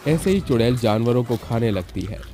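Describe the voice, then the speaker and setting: A man narrates a scripted storybook tale in a mid-pitched voice. Faint music plays underneath him. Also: lively intonation, brisk, dark tone.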